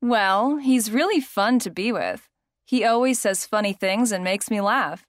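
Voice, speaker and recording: A fond young woman played by an actress chats in scripted course dialogue. Her pitch is medium, and the studio sound is dry. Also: a little slow, no room sound, close to an everyday voice.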